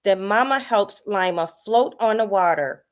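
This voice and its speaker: A woman reading a picture book aloud to children in a deliberate storytelling manner. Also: medium pitch.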